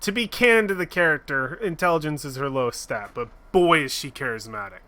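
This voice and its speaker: A man's voice: on the high side, animated, brisk, bright, forceful tone. A man streaming a game, chatting casually with goofy, laughing asides. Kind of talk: casual gameplay commentary.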